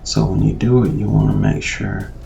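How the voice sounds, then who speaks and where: A man with a very creaky, lowish voice narrates a casual tutorial. The sound is dull, like video playback, with prominent instrumental music over him. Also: steady tone, normal speed. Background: loud instrumental music.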